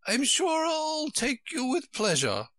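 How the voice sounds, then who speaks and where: Man putting on a flustered old queen, high fretful wail, storybook, bright dry voice. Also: wildly swooping pitch, slow, dry recording, exaggerated voice.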